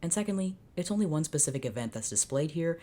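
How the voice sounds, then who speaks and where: A woman's voice: low-pitched, lively intonation, fast talker, mellow. A woman hosting her channel, reading fanfiction aloud unscripted and reacting as she goes, in a sardonic, dramatic way.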